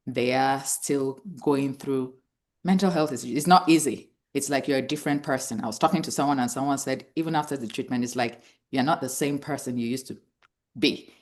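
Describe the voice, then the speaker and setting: A woman in her fifties giving a motivational awareness talk, earnest and encouraging, repeating key phrases for emphasis. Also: rumbling low. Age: 50s. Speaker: speaker hosting a cancer awareness event.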